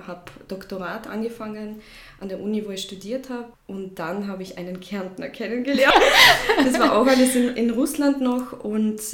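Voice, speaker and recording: A woman in her thirties from Russia, a native Russian speaker, telling her personal story as an interview guest in a reflective, hesitant way. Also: medium pitch. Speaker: podcast guest, shop founder. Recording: roomy echo, faint background noise.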